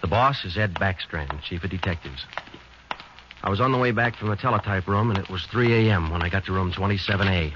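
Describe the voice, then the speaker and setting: An actor playing a police sergeant who narrates a 1940s radio crime drama in a clipped, flat, matter-of-fact manner. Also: male voice, low voice, a little fast, background noise, natural voice. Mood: terse. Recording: dull lo-fi 1940s radio broadcast.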